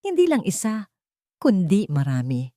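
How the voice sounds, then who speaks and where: A woman narrating a scripted audio drama in a measured, theatrical way. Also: medium pitch, wildly swooping pitch, dark tone, dry recording, studio quality. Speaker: storyteller.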